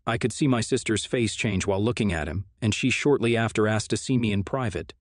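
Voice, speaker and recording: Male narrator with a lowish voice, recorded dry and close; reads forum posts steadily, evenly paced. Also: a little fast, no echo. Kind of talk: reading online forum posts aloud.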